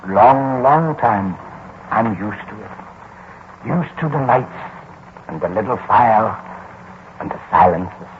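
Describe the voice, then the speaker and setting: An actor playing a lonely, courteous old German man in a 1940s radio drama, speaking haltingly and wistfully with a German accent. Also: lowish voice, lively intonation. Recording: muffled, noisy 1940s radio recording.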